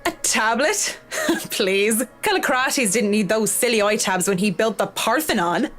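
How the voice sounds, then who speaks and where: A witty female interior designer in a visual novel. She sounds confident and passionate about her work, with a wry edge, in a medium-pitched voice over soft music. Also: animated, a little slow. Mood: passionate, proud. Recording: music behind game dialogue.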